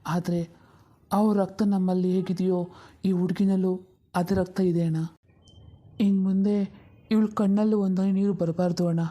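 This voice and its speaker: An earnest younger brother acted by a woman in a cartoon family story. The voice is mid-pitched and very mellow, with an emphatic, pleading delivery full of compassion. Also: little pitch movement, normal speed, long pauses, close to an everyday voice. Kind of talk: dramatic cartoon story dialogue.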